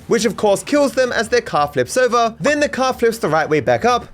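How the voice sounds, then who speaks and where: An Australian man reads a scripted comedic video essay in a high voice. His delivery is mock-serious and sarcastic, with very animated intonation. Faint music plays underneath. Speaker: video creator and narrator.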